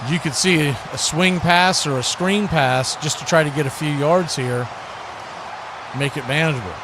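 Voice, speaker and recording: An American man giving live football play-by-play in short clipped phrases that build with excitement. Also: on the high side, moderate pace, noisy background. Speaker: play-by-play announcer.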